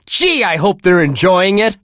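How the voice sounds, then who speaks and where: An actor playing a relentlessly cheerful, wholesome radio DJ on a metal station in a video game, with chirpy, earnest patter. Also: male voice, sits high, big pitch swings, a little slow, fairly bright tone, dry recording, clean studio sound, exaggerated voice. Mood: sunny, cheerful. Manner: chirpy, earnest, gee-whiz exclamations. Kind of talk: upbeat radio DJ patter, scripted.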